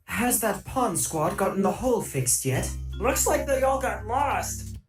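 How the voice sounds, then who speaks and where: A fussy cartoon robot henchman , played by a woman frets in a slow, sighing voice that rises and falls widely. The take sounds roomy and echoey, with music running beneath it. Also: mid-range voice, expressive, close to an everyday voice. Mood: fretful. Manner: worried, sighing. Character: fretful male robot henchman, anxious and fussy.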